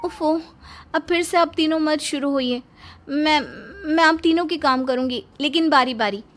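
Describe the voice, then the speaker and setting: A woman's voice: sits high, moderate pace, fairly bright tone, voice pushed up. A woman voicing a dutiful, flustered young daughter-in-law in a cartoon, placating in manner. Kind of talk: cartoon story character voice.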